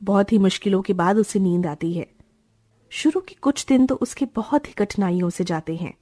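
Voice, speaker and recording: Woman narrator, mellow, slightly husky, dry studio voice-over, steady storytelling. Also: mid-range voice, normal speed, no room sound. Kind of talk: scripted narration.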